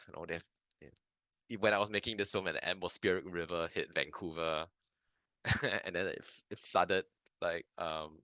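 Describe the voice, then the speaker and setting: A man's voice: low voice, bright, forceful tone, no echo. A young man from Singapore, a filmmaker answering interview questions in a hesitant, thoughtful way with many restarts. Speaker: filmmaker guest. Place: lives in Canada.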